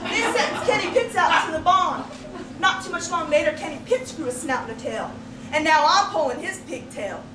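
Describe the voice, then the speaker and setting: Female contest storyteller, very high voice, theatrical and folksy, performing a tall tale; noisy live room. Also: animated. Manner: dramatic, emphatic delivery with deliberate pauses; folksy storytelling turns of phrase. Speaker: competitive speech contestant telling a tall tale. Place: indoor speech contest room, distant microphone. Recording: roomy, noisy live recording from far off.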